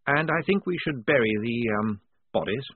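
A man's voice: medium pitch, lively intonation, normal speed, husky, dry recording, voice pushed up. A man reading an audiobook, voicing a nervous, fussy young officer who speaks politely and hesitantly. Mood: flustered. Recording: dry, tinny phone-like sound.

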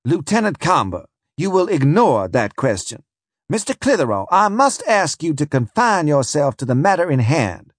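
A man putting on a stern male court adjudicator from an audiobook scene. He hands down clipped rulings in a raised, husky voice. The delivery is dry and close. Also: a little high, very animated, measured pace, no room sound, voice pushed up. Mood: curt.

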